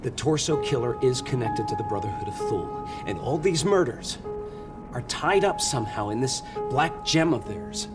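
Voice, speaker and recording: A man plays a young American federal agent for a video game cutscene. He is earnest and stubborn, deferential yet insistent, in a mid-pitched voice. Music plays clearly underneath. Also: animated, moderate pace, close to an everyday voice. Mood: pleading, insistent. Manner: hesitant, pleading, deferential. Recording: game dialogue over background music.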